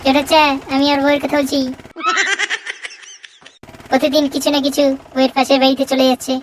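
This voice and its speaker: A performer voicing a hot-tempered, suspicious cartoon husband in a high, childlike voice, brash and scolding. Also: monotone, fairly quick, close to an everyday voice. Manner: brash, scolding, insult-laden. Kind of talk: exaggerated comic cartoon dialogue. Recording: noisy track with clicks.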